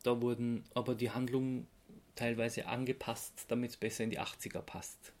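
Austrian man, creaky voice, casual podcast host. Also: mid-range voice, level tone, normal speed, a little mellow. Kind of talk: casual podcast chat, explaining.

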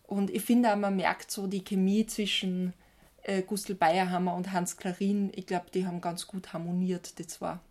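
A woman's voice: fairly low pitch, level tone, moderate pace, a little mellow. A woman chatting casually as a podcast co-host.

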